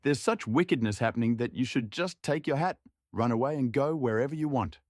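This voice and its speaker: A man's voice: medium pitch, fairly quick, darkish tone, dry recording, clean studio sound, pitched above the performer's own voice, close to an everyday voice. A man playing a frightened, stubborn young seminary student in a story, pleading and protesting. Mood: fearful.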